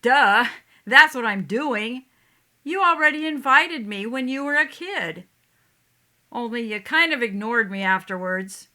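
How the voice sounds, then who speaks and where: A woman's voice: on the high side, animated, measured pace, pushed and bright. A woman playing a playful, trusting, cheerful young boy with an eager, guileless way of talking in a stage play. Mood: friendly, coaxing.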